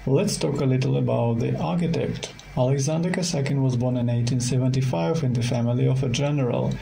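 A man with a Russian accent narrating a scripted tour as a guide, in careful, halting non-native English. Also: mid-range voice, creaky, warm tone, slight background noise, low-quality recording.

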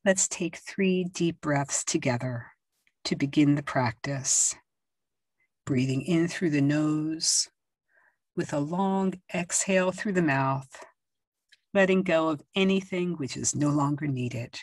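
Slow breathy low-voiced woman guiding meditation. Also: dry recording. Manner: slow, soft and soothing, with long pauses and gentle instructions. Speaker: yoga nidra teacher. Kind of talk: guided meditation.

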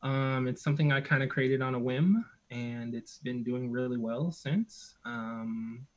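A man talking as a guest on a video-call chat, relaxed and a bit hesitant. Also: medium pitch, unhurried. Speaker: guest, merch creator. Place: home room, video call.

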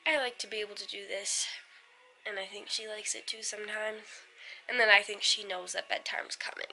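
A woman's voice: medium pitch, vocal fry, pushed and bright, rough recording. A young American woman, around twenty, chatting in an informal, rambling way as in a casual home vlog. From United States. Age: late teens to about twenty. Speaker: vlogger, young mother. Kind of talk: casual family vlog chat. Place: indoors at home. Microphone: handheld camera at arm's length. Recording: noticeable background noise, handheld home recording.

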